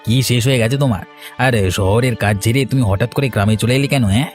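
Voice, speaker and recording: A man's voice: mid-range voice, fast talker, lightly acted. A man voicing a chatty, familiar village neighbour in a cartoon story. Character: chatty, gossiping village elder-brother type. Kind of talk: Bengali children's cartoon story dialogue. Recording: music playing under the voice.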